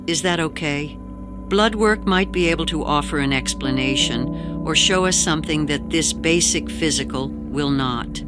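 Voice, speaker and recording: A woman's voice: low voice, normal speed, natural voice. A woman playing a patient, matter-of-fact veterinarian in an audio story, firm and reassuring. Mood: reassuring, professional. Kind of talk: dramatic audio story acting. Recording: voice over prominent music.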